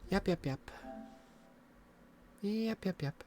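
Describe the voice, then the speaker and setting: A woman's voice: mid-range voice, lively intonation, slow pace, mellow, a little hiss or hum. A woman streamer chatting casually and a bit scattered, her voice worn from getting sick. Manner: chatty, self-deprecating, scattered. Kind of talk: casual live-stream chat.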